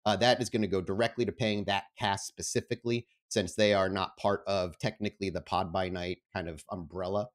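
A man's voice: low-pitched, steady tone, fairly quick, few pauses. A man speaking as host and game master of a tabletop roleplaying show, with an informal, enthusiastic manner. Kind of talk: casual announcements, then narrating a tabletop game.

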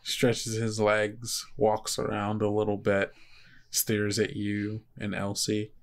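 A man's voice: on the low side, completely flat delivery, vocal fry. Man narrating a tabletop game. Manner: conversational, halting descriptions with frequent hedging "like". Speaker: game master of an actual play podcast.

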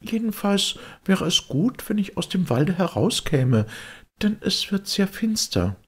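A sensible, anxious little girl in a storybook, played by a man. The voice is pitched high and very husky, with lively swings, polite and earnest. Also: a little slow, heavily acted.

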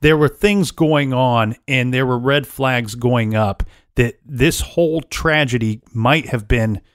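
A man's voice: mid-range voice, takes it slowly, dry recording. Podcast co-host, man, easygoing, close dry mic. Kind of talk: casual true crime chat.

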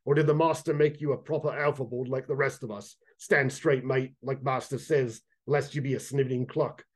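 Man doing a gruff bossy kobold guard. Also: mid-range voice, fairly even intonation, moderate pace, lightly acted. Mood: scolding. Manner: gruff, scolding. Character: bossy aggressive male rat-like kobold guard.

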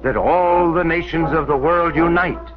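A man's voice: fairly high pitch, unhurried. Solemn 1940s newsreel-style man, dull old soundtrack. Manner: solemn, declamatory delivery. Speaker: narrator. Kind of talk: scripted 1940s educational film narration. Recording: dull, band-limited 1940s film soundtrack. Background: orchestral film score music.

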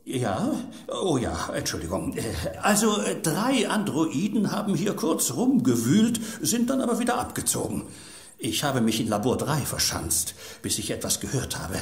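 An eccentric male scientist from a sci-fi audio drama, speaking in a highish, swooping voice. He is polite and rambling, easily sidetracked by his work, in a roomy space. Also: wildly swooping pitch, normal speed. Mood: flustered, chatty. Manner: hesitant, rambling, formally polite. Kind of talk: German audio drama acting.

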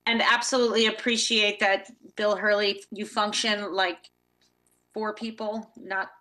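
A woman with a bright, forceful voice questions officials at a public budget hearing. She asks politely and thanks them as she goes. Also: medium pitch, flat delivery, unhurried, poor audio. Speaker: board member.

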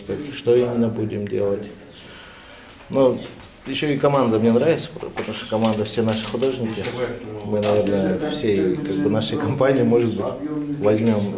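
A man in his thirties speaks casually and haltingly in an interview, explaining his work. His voice is fairly low. The room echoes clearly, with other people audible behind him. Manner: halting, informal. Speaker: animation artist, interviewee. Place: small office studio, indoors. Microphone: handheld TV reporter microphone.

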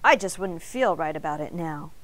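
A woman's voice: mid-range voice, sing-song, moderate pace, lightly acted. A woman voicing a flustered, well-meaning man in a children's story, sheepish and hesitant with a stammer. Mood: reassuring.

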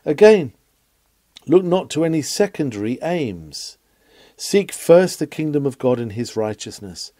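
A man reading an old sermon aloud in an earnest, emphatic, oratorical manner, with measured phrasing. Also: a little high, sing-song, dark tone, no echo. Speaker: reader of a historic sermon.

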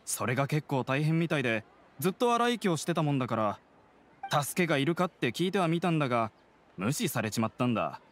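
A man's voice: fairly high pitch, big pitch swings, quick pace. A man voicing a friendly young merchant in a game, chatting in an easygoing, helpful way.

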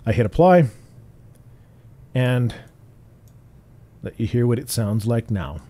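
A man with a bassy voice walks through software steps in a relaxed, explanatory way, with fillers and restarts. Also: slow pace. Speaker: tutorial host. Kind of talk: screen-recorded software tutorial.

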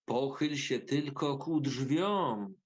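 A man's voice: medium pitch, lively intonation. A man voicing a scheming, commanding sorcerer who poses as a kindly uncle in an audio fairy tale, speaking with deliberation. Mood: instructing. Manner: slow, deliberate instructions turning stern or falsely gentle.

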